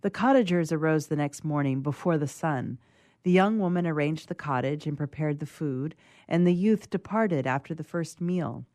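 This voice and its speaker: Woman reading as low-voiced lonely creature, gentle outcast. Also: no room sound, studio quality, lightly acted. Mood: wistful, earnest. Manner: formal, measured literary reading.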